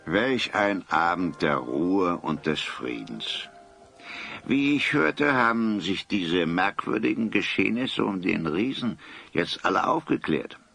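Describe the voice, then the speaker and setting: A man playing a genial, hospitable older townsman in a radio play, speaking in a measured, philosophical way. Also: deep voice, very animated, creaky, a bit bright, breathy edge, faint music behind, full character voice. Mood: calm, reflective. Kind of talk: German radio play acting.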